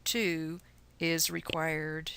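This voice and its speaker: Lower-pitched Texan woman teaching casually. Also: level tone, very slow, gravelly, a bit bright, a little hiss or hum. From Texas, USA. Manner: chatty, folksy, explanatory. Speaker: chemistry teacher. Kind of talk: informal recorded lesson.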